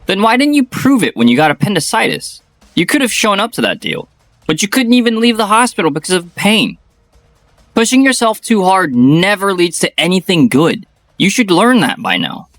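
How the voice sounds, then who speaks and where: Earnest young male employee voiced by a man, lively and dry. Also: high-pitched, moderate pace, no room sound, soft background music, heavily acted. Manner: polite, earnest.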